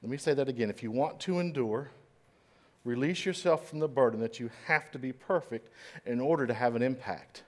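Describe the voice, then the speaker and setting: A man preaching a sermon in a church, dramatic and swinging between loud and hushed, with a slight stutter at times. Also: mid-range voice, brisk. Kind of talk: sermon storytelling. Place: church stage.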